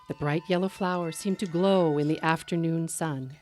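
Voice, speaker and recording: A woman telling a story live, narrating in an expressive, measured way with dramatic delivery. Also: sits low, faint music behind.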